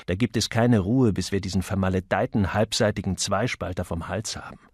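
A man's voice: deep voice, fairly quick, darkish tone, husky, dry recording, clean studio sound. A man voicing a grumpy, cynical advertising copywriter in an audiobook, curt, bossy and emphatic. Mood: grumbling.

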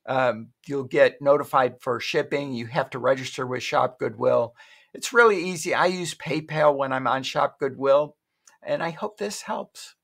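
Middle-aged guy at his desk webcam, kinda gravelly voice, chatty rambling walkthrough, keeps correcting himself. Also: mid-range voice, normal speed, a bit bright. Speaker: online shopping vlogger. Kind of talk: casual screen-share walkthrough.

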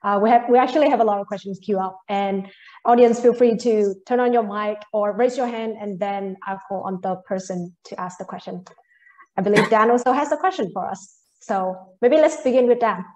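A woman in her twenties moderating an online panel over an earphone mic, politely and briskly opening the floor for questions. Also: medium pitch, a little mellow, muffled recording.

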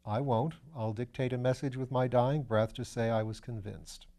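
A man's voice: mid-range voice, steady tone, moderate pace, gravelly, mellow, lightly acted. Storybook part: man playing a sceptical police detective, dry, level-headed, plain-spoken. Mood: dry, doubtful.

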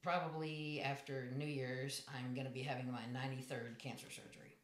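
A man in his forties with a high voice, calmly telling his life story as an interview guest in a small room. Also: brisk. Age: 40s. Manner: matter-of-fact, plain storytelling. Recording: echoey room.

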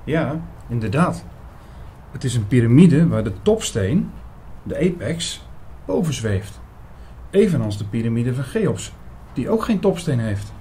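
A man's voice: mid-range voice, sing-song, measured pace, dark tone, a little hiss or hum. A man playing an attentive investigator who narrates his own story in a scripted radio drama. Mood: engaged, appreciative. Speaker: narrator and lead actor in an audio drama.

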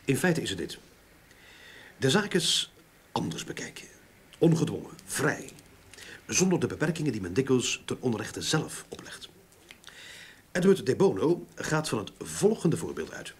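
A husky, animated male sleuth. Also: mid-range voice, a little slow, pauses often. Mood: playful, eager. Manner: eager, didactic, repeats words for emphasis. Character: talkative, enthusiastic male sleuth explaining his theories. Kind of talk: Dutch radio drama acting.

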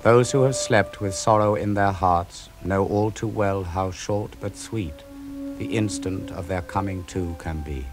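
Slow, low-voiced male poetry reader. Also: over music. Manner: slow, measured, dramatic delivery. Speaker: narrator. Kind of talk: scripted poetry reading.

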